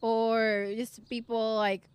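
A woman's voice: on the high side, takes it slowly, smooth, no room sound. A young woman from Ecuador, co-hosting a podcast and telling stories in casual chat, acting out the people she quotes. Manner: animated storytelling, acts out quoted people. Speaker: podcast co-host.

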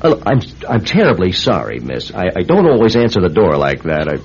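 An actor playing a wisecracking, skeptical bachelor writer in a radio drama, narrating and acting in a sardonic way. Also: male voice, low voice, huge pitch swings, fairly quick, noisy background, heavily acted. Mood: flustered. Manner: wry, sardonic. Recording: dull lo-fi radio drama recording.

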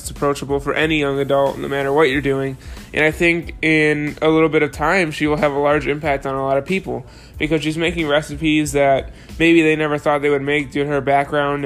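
A man's voice: a little high, a bit bright. A young man hosting a podcast, talking enthusiastically with plenty of fillers as he introduces and interviews a guest. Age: young adult. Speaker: podcast host.

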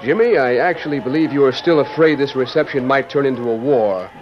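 An actor playing a genial, stately American president, effusive and formal, in an old radio western. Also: male voice, mid-range voice, brisk, close to an everyday voice. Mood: hearty. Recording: muffled, noisy old radio recording.

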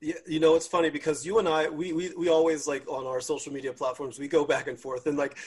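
Man, panel host, husky voice, upbeat, laughs a lot, repeats words. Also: on the high side, level tone, fast talker. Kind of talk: casual panel chat.

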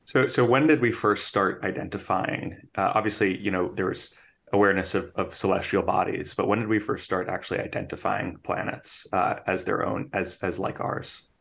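A man's voice: low-pitched, steady tone, moderate pace, vocal fry, fairly bright tone. A man hosting and interviewing on a podcast.

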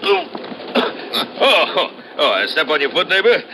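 Folksy, bumbling fat traveller, noisy recording. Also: male voice, big pitch swings, unhurried. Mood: jovial, rambling. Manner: rambling, hesitant, chuckling, folksy country talk. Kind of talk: old-time radio drama acting. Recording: noisy old radio recording with vehicle rumble.

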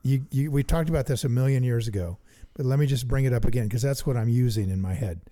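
A middle-aged man co-hosting a chat about investing, eager and thinking out loud as he works sums aloud. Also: mid-range voice, fast talker. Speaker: investing podcast co-host. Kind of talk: casual teaching chat.

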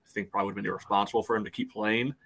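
A podcast co-host arguing a soccer call, with "you know" between nearly every phrase. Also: male voice, lowish voice, fairly even intonation, very fast, gravelly, crowd noise. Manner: loose, fills with "you know" often. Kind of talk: casual sports debate.

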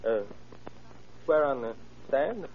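An actor in an old-time radio drama playing an excitable, folksy old gun tinkerer who stammers in rustic phrases. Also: male voice, mid-range voice, slow pace, gravelly. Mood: flustered, insistent. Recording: noisy, narrow-band old radio recording.

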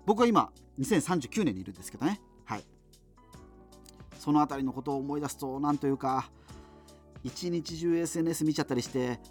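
An actor playing a nostalgic, wry radio host from the future, rambling conversationally through a monologue. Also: male voice, on the high side. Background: music.

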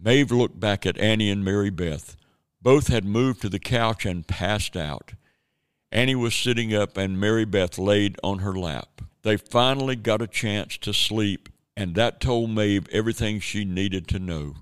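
Measured male audio-drama narrator. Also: on the low side, smooth, no echo, studio quality. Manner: measured, storytelling. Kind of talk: scripted narration.

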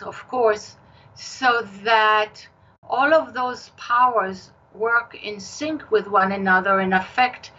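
An older woman with an Israeli accent, a novelist talking in an interview in a measured storytelling manner. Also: mid-range voice, pushed and bright, highs cut off, slight background noise. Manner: slow, measured storytelling with occasional restarts. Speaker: novelist guest. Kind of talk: interview conversation.

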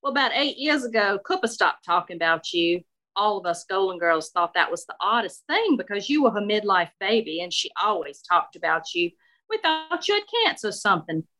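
A woman voicing a warm, nosy seventy-seven-year-old Southern lady with a Southern accent, talking with no filter. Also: a little fast. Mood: gossipy. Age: 70s. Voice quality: voice jumps high when excited. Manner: gushing, outspoken, no filter.

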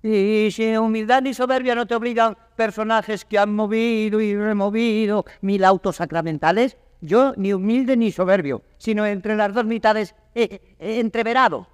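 A man's voice: high-pitched, fairly even intonation, normal speed, hardly any pauses, clean tone, lightly acted. A man playing a cowardly, cheeky servant in classical verse theatre, with mock-pompous comic delivery. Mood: comic pleading. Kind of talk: classical Spanish verse stage acting. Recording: studio theatre recording, long reverb.

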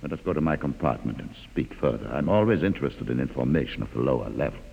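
An actor playing a calm, educated male mutant leader in a 1950s radio drama, measured and quietly ironic. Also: very deep voice, creaky, slightly rough, highs cut off, full character voice. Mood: calm, wry. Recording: old radio drama with long reverb.

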